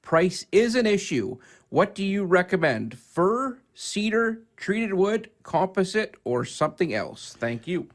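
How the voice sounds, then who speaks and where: A man with a fairly high voice serves as a radio co-host. He reads listener correspondence aloud for the show. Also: very animated, unhurried.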